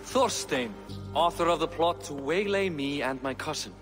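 A man playing a dignified, shrewd middle-aged Viking king in a game cutscene, speaking formally and deliberately. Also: on the high side, lively intonation, big-room sound, over music, natural voice. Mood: stern. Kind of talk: dramatic video game cutscene acting.

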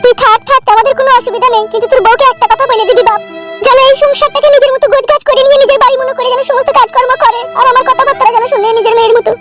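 A woman's voice: very high voice, steady tone, very fast, loud music behind the voice. A woman voicing an anxious, placating middle-aged village mother in a comedy cartoon, fussy and pleading. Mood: concerned, placating. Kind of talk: Bengali comedy cartoon dialogue.

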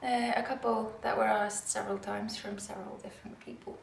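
A woman's voice: mid-range voice, little pitch movement, a little fast, vocal fry, a little hiss or hum. Giggly Norwegian woman with a British-tinged accent. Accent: Norwegian with British English. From Norway. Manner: giggly, chatty, self-deprecating. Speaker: knitwear designer vlogger. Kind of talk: casual chat to camera. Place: dim living room, indoors. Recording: roomy living-room echo.

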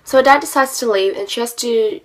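A woman's voice: on the high side, fairly quick. A young woman vlogger who is sick and sounds nasal, chatting casually about books with lots of ums and restarts, recorded by a desk camera in a small bedroom. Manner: rambling with many ums and self-corrections. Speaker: book vlogger. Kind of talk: casual chatty book haul.

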